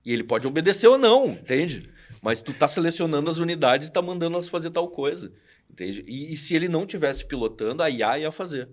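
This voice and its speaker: A man in his forties with a southern Brazilian accent, a podcast guest telling old stories in a slangy, animated way and acting out what people said. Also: fairly high pitch, fast. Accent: southern Brazilian Portuguese. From Rio Grande do Sul, Brazil. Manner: animated, slangy, acts out quoted dialogue. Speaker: podcast guest, studio founder. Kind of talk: casual storytelling chat.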